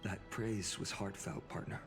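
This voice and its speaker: A man acts out a solemn young male leader from a fantasy game. His voice sits low and moves very slowly, with formal, eloquent phrasing over soft music. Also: steady tone, natural voice. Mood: warm, sincere. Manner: measured, formal, oratorical. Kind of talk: scripted video game voice acting.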